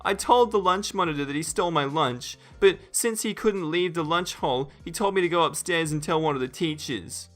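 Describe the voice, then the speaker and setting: A high-pitched, sobbing schoolboy tattletale acted out by a man in a storytime narration. He pleads and whines with bright, forceful exaggeration, over music. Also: normal speed, few pauses, close to an everyday voice. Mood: sobbing, whiny. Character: whiny lying twelve-year-old boy, sobbing tattletale.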